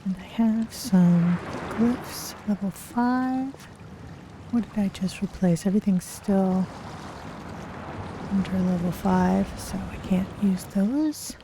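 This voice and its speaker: A streamer voicing a gentle, polite cat-folk adventurer, musing unhurriedly through a fantasy video game. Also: mid-range voice, slow, noisy background.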